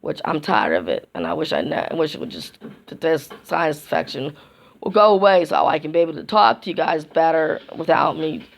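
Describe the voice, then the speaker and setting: Hoarse woman vlogger losing her voice. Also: low voice, animated. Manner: rambling, halting, many restarts. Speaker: YouTube vlogger. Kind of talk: casual vlog chat to camera.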